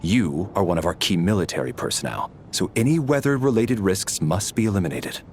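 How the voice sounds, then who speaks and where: A man's voice: low voice, expressive, fairly quick, slight background noise. An actor voicing a stern, rigid male military officer in a game cutscene, formal and clipped.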